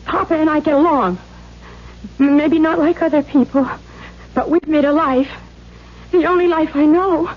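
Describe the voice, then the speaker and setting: Casting: actress for timid, anxious young woman, dutiful daughter, high voice, faltering delivery; vintage radio drama, muffled sound. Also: fairly even intonation, normal speed, long pauses, a little hiss or hum, lightly acted. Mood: anxious, bewildered. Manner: hesitant, stammering, anxious. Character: timid, beaten-down younger sister and dutiful daughter, around thirty. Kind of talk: 1970s radio drama acting. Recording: dull vintage radio recording.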